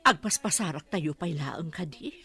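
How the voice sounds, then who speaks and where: A woman's voice: on the low side, lively intonation, moderate pace, bright, forceful tone. A woman playing an anxious older mother in an Ilocano radio drama, speaking plaintively with sighs and earnest longing. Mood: worried, wistful.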